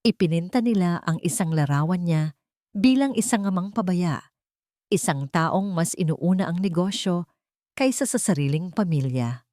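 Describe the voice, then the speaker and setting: A woman's voice: fairly low pitch, lively intonation, normal speed, mellow, dry recording, studio quality. A woman narrating a scripted audio drama story.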